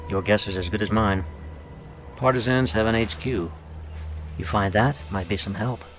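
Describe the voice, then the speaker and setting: A man playing a frank, wry American intelligence officer in a video game cutscene, with an American accent and a casual, measured manner. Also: lowish voice, fairly bright tone, faint music behind, lightly acted. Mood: grave warning.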